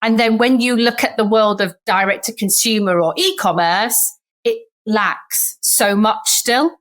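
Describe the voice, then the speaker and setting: A woman's voice: medium pitch, measured pace, fairly bright tone. Chatty Sheffield woman telling stories. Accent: Sheffield English. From Sheffield, England. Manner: chatty storyteller who acts out remembered dialogue. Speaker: guest, startup founder. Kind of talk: podcast interview.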